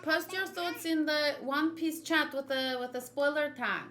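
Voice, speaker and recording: A woman's voice: high-pitched, a little slow, fairly bright tone. A woman livestreaming a video game, chatting casually and thinking aloud as she switches between her viewers and the game. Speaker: game streamer. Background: video game music.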